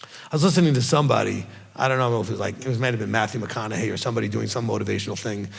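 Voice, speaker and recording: A man's voice: on the low side, rapid-fire, creaky, in a large hall. A middle-aged man giving an informal Bible teaching, emphatic and often checking in with "okay?". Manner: emphatic, repeats "okay?" and "all right?".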